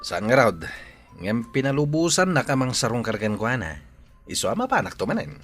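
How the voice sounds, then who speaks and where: A man with an Ilocano accent playing a smooth-talking, pushy suitor in a village radio drama, with a husky voice. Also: medium pitch, sing-song, a little fast, fairly bright tone, faint music behind. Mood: sly, admiring.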